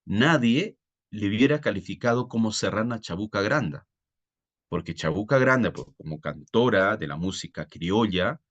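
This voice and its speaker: A man's voice: lowish voice, lively intonation, moderate pace, a bit bright, dull audio. A man with a Peruvian Spanish accent teaching a live online class, explaining didactically and often putting questions to his students. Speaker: teacher, exam-prep tutor. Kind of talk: live online reading-comprehension lesson.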